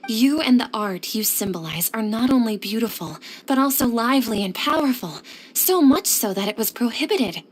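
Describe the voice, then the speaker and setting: A woman playing a courteous, composed scholarly lady in an anime game, speaking in a polite, measured, formal way. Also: on the high side, expressive, poor audio. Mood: sincere.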